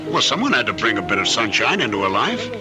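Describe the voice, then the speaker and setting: A man's voice: medium pitch, wildly swooping pitch, fast, over music. An actor playing a resentful, insecure middle-aged man in an old-time radio drama. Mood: nostalgic, confiding.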